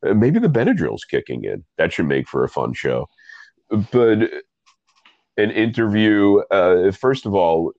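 Very deep-voiced stuffy man hosting. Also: a little mellow, dry recording, dull audio. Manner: rambling, self-deprecating, quick asides. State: stuffy nose. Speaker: podcast host and coffee reviewer. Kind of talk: casual interview chat.

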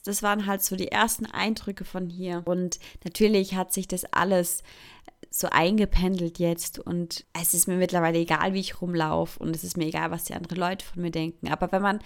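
A young woman from Thurgau with a Swiss German accent that is partly Zurich, talking candidly about her own life in a casual vlog. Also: medium pitch. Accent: Swiss German, Thurgau mixed with Zurich. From Thurgau, Switzerland. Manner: candid, chatty, self-mocking. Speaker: vlogger. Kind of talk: casual personal monologue.

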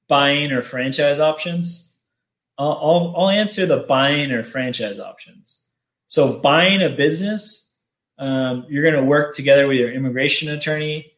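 A man hosting an informational webinar, explaining steps in a steady, matter-of-fact way. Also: mid-range voice. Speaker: webinar host, business consultant. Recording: roomy echo.

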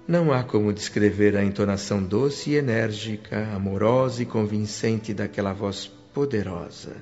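Male narrator for a radio play, slightly low voice, calm and reverent, dull lo-fi tone. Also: normal speed. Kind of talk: scripted radio drama narration. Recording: dull lo-fi radio-drama recording. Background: soft music.

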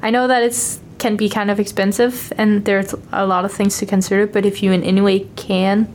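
A woman's voice: mid-range voice, flat delivery, brisk, a little mellow, slight background noise. Chatty young Danish woman student. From Denmark. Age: young adult. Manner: chatty, upbeat, fillers of like. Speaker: exchange student interviewee. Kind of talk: casual interview chat.

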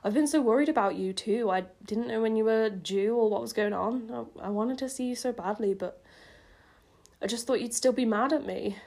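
A woman reading an emotional young woman from a romance fan fiction, earnest and hesitant, with a creaky voice. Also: fairly high pitch, normal speed, darkish tone. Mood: anxious, relieved.

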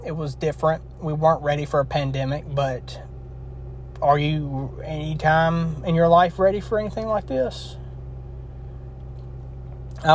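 A man of twenty-one hosting his own podcast in a casual, rambling, earnest way, his throat scratchy from a long session. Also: a little high. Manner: rambling, earnest, with frequent restarts. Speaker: podcast host. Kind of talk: casual solo podcast wrap-up.

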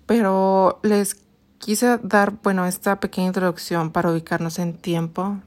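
A Mexican woman in her late twenties hosting a personal podcast, telling a story slowly with many pauses, restarts and fillers. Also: mid-range voice, flat delivery. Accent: Mexican Spanish. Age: 20s. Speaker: podcast host.

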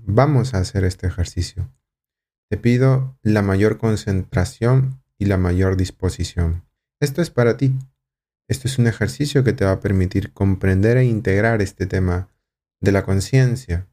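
Calm, low-voiced man guiding a reflection. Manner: slow, calm, guiding, asks rhetorical questions. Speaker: podcast host and teacher. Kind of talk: guided reflective lesson.

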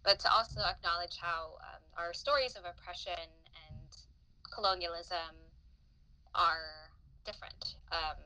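A woman's voice: fairly low pitch, measured pace, bright, forceful tone, low-quality recording. A young adult woman from the Philippines, a guest on a podcast, talking thoughtfully with many fillers and restarts. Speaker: podcast guest, psychotherapist in training. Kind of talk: reflective interview talk.

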